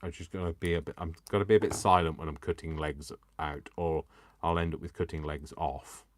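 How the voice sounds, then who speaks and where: A man from Coventry with a Coventry English accent softened by years in Yorkshire, chatting and teasing himself as he demonstrates crafts live. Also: very deep voice, flat delivery, fairly quick, dry recording. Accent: Coventry English, worn by years in Yorkshire. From England. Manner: chatty and self-mocking, talks to himself and the viewers. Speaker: crafting livestreamer.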